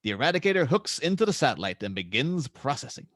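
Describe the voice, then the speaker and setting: A man's voice: mid-range voice, sing-song, fast, a bit bright, no room sound, clean studio sound. A man narrating scene descriptions and stage directions for a script table read.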